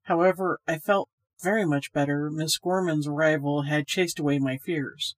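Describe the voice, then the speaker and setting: A woman's voice: deep voice, fairly even intonation, moderate pace, no room sound. Woman narrating a ghost story, dry sound. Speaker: story reader, podcast host.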